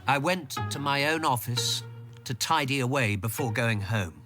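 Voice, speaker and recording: An actor playing the measured, observant narrator of a radio drama, with a British accent and an understated manner. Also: male voice, mid-range voice, animated, over music, lightly acted. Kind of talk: scripted first-person drama narration.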